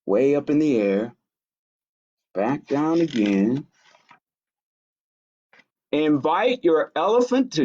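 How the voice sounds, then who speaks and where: A man reads picture books aloud for young children in an expressive storytime style. His pitch swings widely while his pace stays leisurely, and he adds small asides about the pictures. The recording is dull and lo-fi. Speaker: library storytime reader. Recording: dull lo-fi audio.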